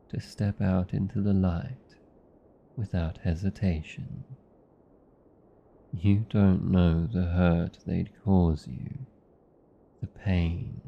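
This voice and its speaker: A British man playing a protective, anxious vampire lover in a romantic ASMR roleplay, speaking formally and earnestly with deliberate pauses. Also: deep voice, gravelly, dark tone, lo-fi sound, exaggerated voice. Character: protective, anxious old vampire lover, tender yet arrogant.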